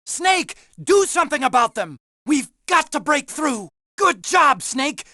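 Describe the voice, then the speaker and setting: Bright, earnest male game sidekick. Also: extremely high pitch, a little slow, no echo, studio quality, heavily acted. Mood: urgent, shouting. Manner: earnest, excitable. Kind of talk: video game voice acting.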